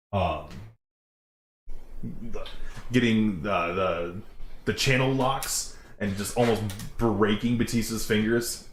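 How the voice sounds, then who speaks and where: A man with a loud voice hosting a casual reaction chat, rambling excitedly and often restarting his sentences. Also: on the low side. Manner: excited, rambling, self-interrupting. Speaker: show host. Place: outdoors in the shade. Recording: roomy with faint noise.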